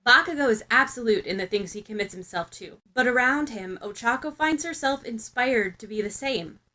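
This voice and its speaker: Bright-voiced woman narrating fiction. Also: fairly high pitch, animated, normal speed. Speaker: podfic narrator. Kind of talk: expressive scripted fiction narration.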